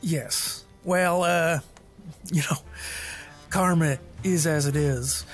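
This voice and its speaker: A roleplayer puts on a mercenary tough for a tabletop podcast. The voice is slow, laid-back and folksy, confident despite pauses, with faint music behind. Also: medium pitch, steady tone, lightly acted.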